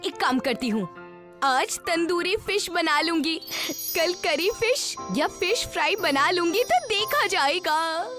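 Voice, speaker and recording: A woman's voice: very high voice, expressive, takes it slowly, over music, full character voice. A woman voicing a strong, hot-tempered, greedy village woman in a fairy tale, with an indignant, emphatic manner. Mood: eager.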